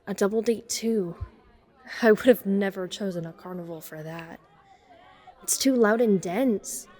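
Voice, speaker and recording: An introspective teenage outcast girl, played by a woman, tells her story in first person. Her voice is soft-edged and mellow at a middle pitch, trailing into long reverb. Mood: uneasy, wry. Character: introspective, self-conscious teenage girl, a science geek outcast. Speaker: narrator and lead character. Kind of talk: first-person dramatic narration.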